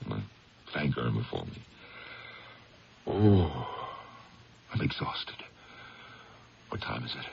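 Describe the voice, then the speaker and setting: An actor playing a weary, guarded American district attorney in 1970s radio drama, speaking in a hesitant, evasive way. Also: male voice, very deep voice, big pitch swings, creaky, darkish tone, heavily acted. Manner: hesitant, halting. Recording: dull old radio recording, faint noise.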